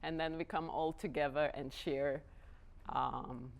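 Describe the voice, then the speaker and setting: A woman from Lithuania with a Lithuanian accent, giving an informal lecture to dance students, hesitant, with many pauses and restarts. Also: low voice, steady tone, gravelly. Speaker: guest lecturer, artistic director.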